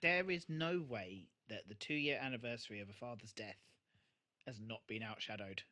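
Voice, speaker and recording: A man's voice: fairly low pitch, normal speed, sharp tone. A British man co-hosting a podcast, chatting casually and bluntly about an episode. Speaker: podcast co-host. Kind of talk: casual episode review chat.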